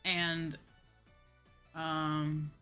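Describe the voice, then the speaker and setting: A woman's voice: deep voice, level tone, very slow, bright, forceful tone, clear, resonant tone, soft background music. A woman livestreamer chatting casually, in an informal, slangy, ranting way that acts out her own reactions. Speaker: VTuber livestream host.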